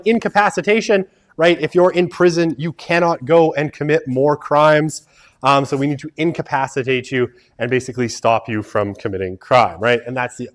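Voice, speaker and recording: Chatty man lecturing with loud bursts. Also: medium pitch. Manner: loose and conversational, lots of "right?" and "um"; animated, swings to loud emphasis and mutters asides. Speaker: university lecturer. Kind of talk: classroom lecture. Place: university lecture hall. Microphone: wireless lavalier mic.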